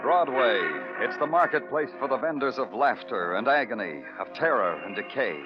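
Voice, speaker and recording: An actor playing a brooding, world-weary New York detective, narrating a radio noir drama in a somber, poetic, deliberate way. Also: male voice, mid-range voice, expressive, natural voice. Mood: somber, bitter. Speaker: narrator and lead character. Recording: dull vintage radio recording, loud music.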